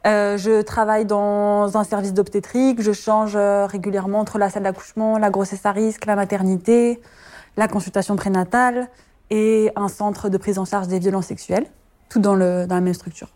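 A woman's voice: medium pitch, flat delivery, moderate pace, mellow. A woman, a midwife talking about her work in an interview, hesitant with many fillers and easy laughter. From France. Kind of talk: personal interview testimony.

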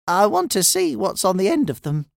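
A man voicing an excitable, urgent male pirate crewmate in a children's story. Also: high voice, moderate pace, creaky, no echo, studio quality, pitched above the performer's own voice, heavily acted. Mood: eager, polite. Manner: exclamatory, urgent.

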